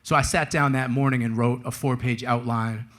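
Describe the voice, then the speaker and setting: A man's voice: medium pitch, steady tone, moderate pace, a bit bright. A man speaking as a guest author at a live talk, telling stories in a wry, casual, slangy way. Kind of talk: conversational storytelling at a live book talk.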